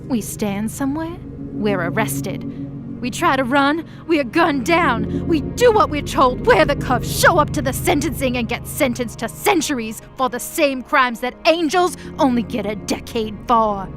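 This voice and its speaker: A woman's voice: high-pitched, lively intonation, a little slow. A woman playing an embittered, defiant demon of hell in an audio drama, speaking with passion and slangy sarcasm. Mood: impassioned, outraged. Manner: impassioned, sarcastic, casual slangy speech. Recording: prominent music under the voice.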